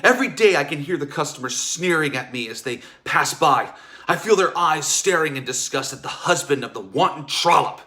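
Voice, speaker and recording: An actor playing a jealous, bitter, wounded husband, accusing and scornful in a theatrical period drama table read. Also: male voice, fairly high pitch, lively intonation, moderate pace, pushed and bright. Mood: outraged. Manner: accusing, emphatic delivery.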